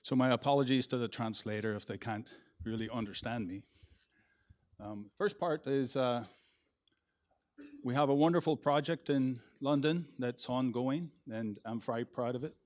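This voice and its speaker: A man with a Northern Irish accent giving a conference presentation as an engineer, in a relaxed, conversational way with some restarts. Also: mid-range voice, moderate pace. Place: conference hall.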